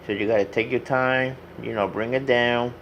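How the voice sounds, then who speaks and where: A man's voice: medium pitch, fairly even intonation, moderate pace, muffled recording, slight background noise. A man narrating an informal hands-on tutorial in a plain, instructive way, repeating his instructions as he goes. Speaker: radio repair hobbyist demonstrating.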